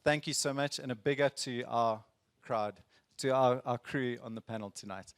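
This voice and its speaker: A man opening an event, welcoming the crowd in a halting, friendly way. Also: medium pitch, slight background noise. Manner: halting, friendly, many restarts. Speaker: event host. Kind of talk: welcoming announcements.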